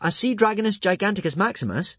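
A man voicing a plucky, quick-thinking young boy in a children's audiobook, anxious but bold, with urgent, emphatic delivery. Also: sits high, lively intonation, a bit bright, slightly rough, no echo, pitched above the performer's own voice, heavily acted. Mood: boastful.

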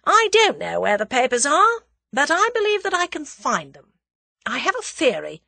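A woman's voice: fairly high pitch, very animated, normal speed, sharp tone, no echo, pitched above the performer's own voice, heavily acted. A woman voicing a cool, cocky young Englishman, scornful and confident as he bluffs his captors in a story. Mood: assured.

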